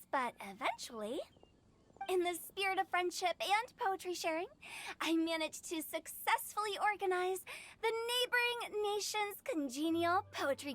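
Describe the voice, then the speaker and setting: A woman's voice: extremely high pitch, a bit bright, a little hiss or hum. A woman playing a mischievous, theatrical teenage girl in an anime video game, teasing and playing with words. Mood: proud, enthusiastic. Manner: teasing, theatrical, rhyming wordplay. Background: game music.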